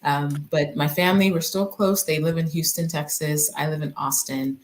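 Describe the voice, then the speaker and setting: A woman from Nigeria talks through her story as an interview guest, pausing often and choosing her words with care. Her voice sits very low. She sounds reflective and unhurried in her hesitations. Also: fairly even intonation. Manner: reflective, hesitant, with frequent fillers. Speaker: physician guest. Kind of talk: conversational interview.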